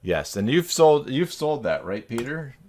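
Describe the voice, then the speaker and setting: A man's voice: medium pitch, sing-song, unhurried, a little mellow, slight background noise. A man hosting a casual video call chat, joking and teasing as he talks. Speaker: live stream host.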